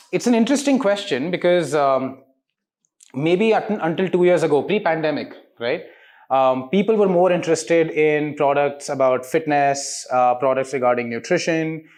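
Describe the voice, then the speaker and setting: A man, giving articulate explanatory interview answers peppered with rhetorical questions. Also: a little high. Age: 30s. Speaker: interviewed diagnostics company representative.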